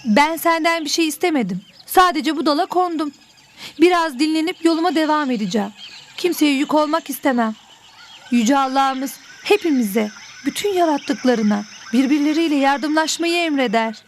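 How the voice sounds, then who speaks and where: A woman voicing a gentle, pious migrating butterfly in an educational cartoon, speaking politely and earnestly. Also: high-pitched, expressive, normal speed, slight background noise. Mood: gentle pleading.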